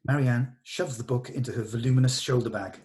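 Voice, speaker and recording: A man's voice: medium pitch, fairly even intonation, fairly quick, darkish tone. A man leading a script read, narrating stage directions in an informal, matter-of-fact, slightly hesitant way. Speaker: table read leader and narrator.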